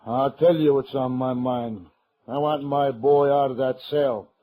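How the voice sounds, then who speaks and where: An arrogant American rancher of middling pitch, acted by a man, used to getting his way. He blusters, demands and threatens in the broad manner of an old radio western. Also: steady tone, a little slow, dark tone, natural voice, poor audio. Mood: contemptuous, angry. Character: arrogant, bullying rich cattle baron, father of a grown son.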